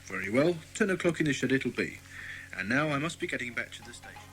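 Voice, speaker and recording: An actor playing a friendly, obliging British police inspector in a children's audio drama, courteous and explanatory. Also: male voice, medium pitch, animated, fast, a bit bright, soft background music, close to an everyday voice, poor audio.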